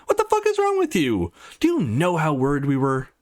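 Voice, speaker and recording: A man's voice: fairly high pitch, huge pitch swings, a little fast, a little mellow, pitched above the performer's own voice. A man voicing an angry, foul-mouthed young woman in a visual novel, scolding and accusing emphatically. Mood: furious. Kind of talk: visual novel character reading.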